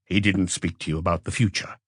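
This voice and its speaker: Man playing a calm, probing police inspector, low-key. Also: slightly rough, no room sound, clean studio sound. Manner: measured, terse questioning.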